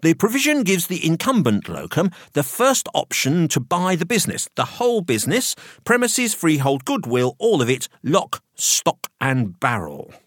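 A man voicing an officious, impatient male solicitor with a formal, halting manner in an audiobook. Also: a little high, wildly swooping pitch, dry recording, clean studio sound, voice pushed up. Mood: brisk, officious. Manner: stammering, pompous, formal. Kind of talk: audiobook character dialogue.

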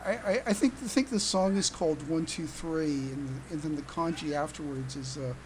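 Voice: mid-range voice, moderate pace, background noise. A fan podcast co-host explaining things casually, stammering and repeating words.

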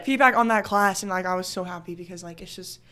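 A woman's voice: fairly low pitch, fast talker, pushed and bright. Young woman dance teacher, rambly, tons of like and um. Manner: rambling, filler-heavy, giggly. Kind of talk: casual chat.

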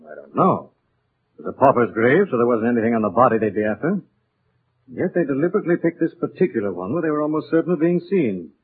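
Suave gentleman detective, medium pitch, husky, clipped and determined, narrow vintage radio sound. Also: very animated, fast. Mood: composed. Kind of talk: 1940s radio acting. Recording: muffled lo-fi 1940s radio recording.

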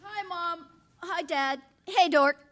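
A woman's voice: very high voice, slow, a bit bright, heavily acted. A woman playing a moody teenage daughter in an audio comedy, by turns petulant and gushing. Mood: sulky. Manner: petulant, gushing teen speech. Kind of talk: comedic audio theater acting.

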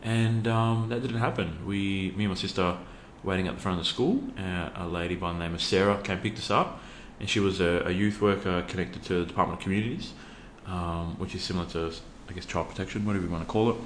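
A man from Western Australia with an Australian accent, talking as an interview guest in a relaxed, laid-back way with plenty of fillers. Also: low-pitched, quick pace, hardly any pauses, a little hiss or hum. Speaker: podcast guest, youth worker. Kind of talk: casual interview talk. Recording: echoey room.

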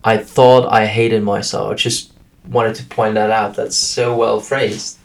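Male interview host, lowish voice, far from the mic, lots of short "right"s. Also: little pitch movement, measured pace, creaky. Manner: frequent short affirmations, warm praise. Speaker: podcast host. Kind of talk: interviewer, brief affirmations. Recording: distant from the mic.

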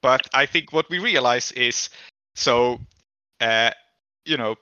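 A man's voice: mid-range voice, slow, bright, forceful tone, clean tone, no echo, muffled recording. A man acting as game master, narrating a tabletop game in an improvised, conversational way.

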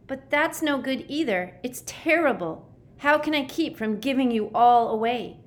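A woman's voice: fairly low pitch, a little slow, natural voice. A woman voicing a frightened, anxious boy in a storybook, shaky, pleading and emotional. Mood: panicked. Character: frightened, despairing, anxious boy on the verge of breaking down.

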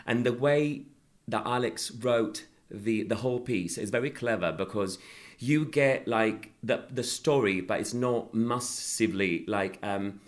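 A man from Sicily, an actor being interviewed, talking casually with many pauses and restarts. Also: medium pitch. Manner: hesitant, many fillers and restarts. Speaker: actor guest.

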